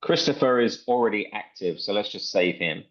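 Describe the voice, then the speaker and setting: A man presenting a software demo in an informal, step-by-step tutorial style. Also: on the low side, animated, normal speed, muffled recording. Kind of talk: casual screen-share tutorial walkthrough.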